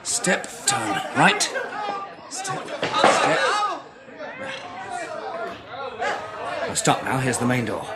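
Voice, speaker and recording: An actor playing a steady but shaken British survivor, the protagonist and narrator of a radio drama. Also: male voice, mid-range voice, takes it slowly, over a noisy crowd, very noisy, exaggerated voice. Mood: urgent, steadying. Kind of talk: radio drama dialogue and first-person narration.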